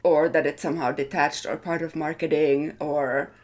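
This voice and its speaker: A woman's voice: low-pitched, fairly even intonation, moderate pace. A woman speaking as a design leader in an interview, reflective and careful to qualify what she says. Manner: thoughtful, hedging, self-qualifying.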